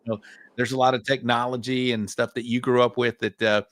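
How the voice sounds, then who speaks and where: A man's voice: medium pitch, level tone, fast, a bit bright, no room sound. A middle-aged man hosting a podcast, talking in a friendly way and often calling people "man" and "bro". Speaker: podcast host. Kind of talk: casual podcast interview.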